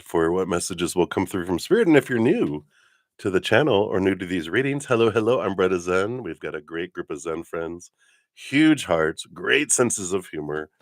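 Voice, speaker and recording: A man with a very deep voice hosts a casual spiritual livestream in a close, dry sound. He talks in a giddy, effusive manner, easing between friendly chat and gentle meditation guidance. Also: dry recording. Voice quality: low morning voice. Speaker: live stream spiritual reader and host.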